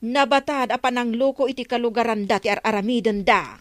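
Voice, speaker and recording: A woman's voice: fairly high pitch, steady tone, brisk, no echo, natural voice. A woman acting a suspicious, scolding village customer with an Ilocano accent and blunt, nagging delivery in a comedy radio drama. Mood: disapproving.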